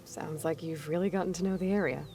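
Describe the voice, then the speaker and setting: A woman's voice: sits low, steady tone, quick pace, warm tone, soft background music. An actress playing a determined young female adventurer in a video game, speaking in a direct, questioning manner. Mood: curious, businesslike. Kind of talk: video game character acting.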